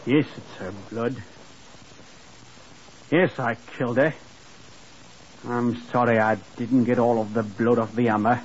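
An actor playing a bitter, sneering young murderer who was dismissed as dim, in a mocking and defiant 1950s radio crime drama style. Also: male voice, medium pitch, fairly even intonation, measured pace, pauses often, close to an everyday voice. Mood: bitter, confessing. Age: 20s. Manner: mocking, defiant, breaking into chuckles. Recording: muffled, noisy 1950s radio recording.

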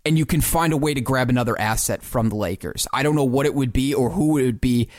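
Guy talking hoops on a podcast, medium pitch, throws out what-ifs, really dry audio. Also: quick pace, a little mellow, no room sound. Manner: speculative, posing questions. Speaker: sports podcast co-host. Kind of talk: conversational basketball analysis.

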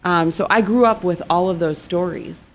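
Earnest low-voiced woman guest. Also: a little hiss or hum. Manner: earnest, halting, many fillers. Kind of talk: interview answers.

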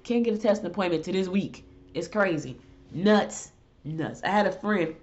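A woman's voice: moderate pace, darkish tone. A middle-aged woman hosting a casual solo talk show, stuffy from a head cold, chatting loosely and ranting in exasperation. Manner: chatty, exasperated rants with rising pitch. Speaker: show host. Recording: dull lo-fi audio.

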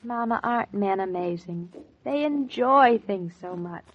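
A woman's voice: medium pitch, expressive, a little slow. An actress playing a girlish, playful young newlywed wife in a 1940s American radio drama, chattering naively and animatedly. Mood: complaining. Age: young adult. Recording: muffled 1940s radio recording.

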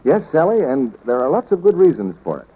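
A man's voice: on the high side, sing-song, brisk, slightly rough, narrow, tinny audio. A male radio announcer delivering scripted 1940s announcements and sales patter. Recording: old 1940s radio broadcast.

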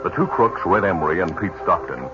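A deep-voiced male narrator tells the story in a dramatic, lively delivery. Clear music plays behind him, and the old broadcast sounds dull and muffled. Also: a little fast. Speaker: narrator and announcer. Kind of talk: scripted radio narration.

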